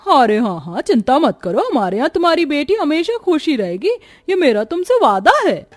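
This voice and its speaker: A woman voicing a friendly middle-aged mother-in-law in an animated moral story, speaking in an agreeable, assuring manner. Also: sits high, normal speed, no room sound, pitched above the performer's own voice.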